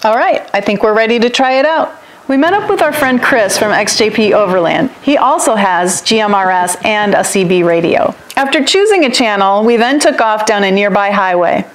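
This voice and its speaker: A woman's voice: mid-range voice, expressive, normal speed. An American woman in her fifties explaining gear as a co-host of an overlanding vlog, recorded on a lapel mic. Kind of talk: explanatory product demonstration and narration. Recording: some background ambient noise.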